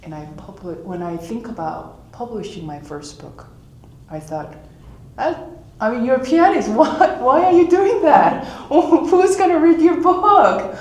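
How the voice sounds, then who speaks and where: A woman's voice: a little high, sing-song, a little slow, a little mellow, echoey room. A woman speaking English with a Korean accent, giving a live author talk and telling personal stories in a reflective way, sometimes acting out her own inner voice.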